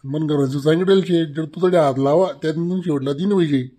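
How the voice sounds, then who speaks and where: A man's voice: fairly high pitch, brisk, dark tone, close to an everyday voice. A man voicing a small, wordy, excuse-making schoolboy in a comedy cartoon, with a Khandeshi Ahirani accent.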